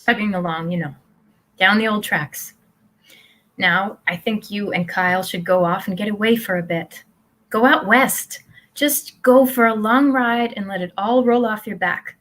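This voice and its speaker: A woman's voice: medium pitch, measured pace, a bit bright, lightly acted. A woman playing a blithely supportive middle-aged mother in a live play reading, placid and reassuring. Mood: placid, doting.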